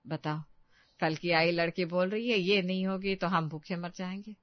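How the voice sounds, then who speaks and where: A woman's voice: fairly low pitch, steady tone, moderate pace, no room sound, highs cut off, lightly acted. A woman voicing an older Indian mother-in-law, fond but fretful, exclaiming and questioning in a family story. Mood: indignant. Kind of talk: Hindi family story acting.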